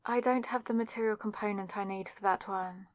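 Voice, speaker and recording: A woman's voice: mid-range voice, steady tone, a little fast, dry recording, natural voice. A young woman playing a flustered, awkward student witch with a wry, self-deprecating manner in an ASMR roleplay, speaking straight to the listener. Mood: embarrassed, sarcastic. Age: young adult. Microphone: close microphone in a dry space.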